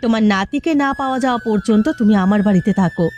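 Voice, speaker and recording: A woman's voice: mid-range voice, fast talker, darkish tone, muffled recording, faint music behind, natural voice. Woman playing an urgent, protective village mother. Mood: worried, firm. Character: protective, anxious village mother of a grown daughter. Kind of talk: cartoon story drama.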